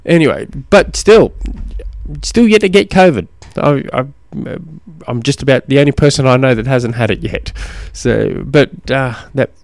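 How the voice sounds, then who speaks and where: A man hosting a casual solo podcast, slightly hoarse from a cold, rambling with many ums and restarts. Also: mid-range voice, lively intonation, unhurried, no room sound. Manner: rambling, self-deprecating, lots of ums and restarts. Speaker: podcast host and cycling coach.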